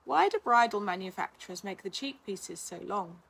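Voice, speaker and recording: A woman reading a scripted article aloud in a measured, instructional manner. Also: mid-range voice, lively intonation, vocal fry, a bit bright, breathy edge. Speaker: reader of a blog, physiotherapist.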